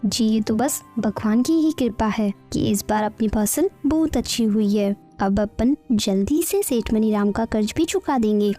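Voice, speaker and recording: A woman voicing a gentle, supportive farmer's wife, respectful and reassuring, in a storybook cartoon. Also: on the high side, animated, measured pace, hardly any pauses, faint music behind. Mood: grateful.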